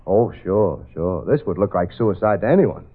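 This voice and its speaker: An actor playing a wisecracking American private detective in 1940s radio drama, dry, streetwise and sardonic. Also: male voice, deep voice, animated, moderate pace, slightly rough. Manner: dry, sardonic, hesitant asides. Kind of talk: 1940s radio detective drama acting, with first-person narration. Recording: tinny old radio recording, music.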